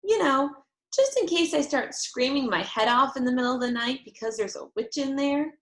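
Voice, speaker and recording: A woman's voice: high-pitched, animated, normal speed, roomy sound, pitched above the performer's own voice. A woman voicing an outspoken, anxious first-grade girl with blunt, emphatic childish phrasing, in a storybook reading. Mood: anxious, insistent.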